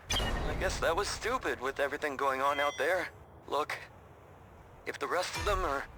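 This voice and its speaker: A weary, earnest survivor talks haltingly in a video game scene. The voice is high for a man, over clearly noisy ambience. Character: weary, grieving survivor lending help. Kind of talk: naturalistic video game voice acting.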